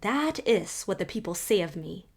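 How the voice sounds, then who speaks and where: A proud old widow , as a woman puts her on, speaks with a rich, powerful voice and a lilting Highland accent. Her regal, formal phrasing carries a faintly strange air, and her delivery swings widely in pitch and lands louder than the storytelling around it. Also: big pitch swings, normal speed. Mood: regal, insistent. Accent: Scottish Highland. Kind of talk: audiobook character reading.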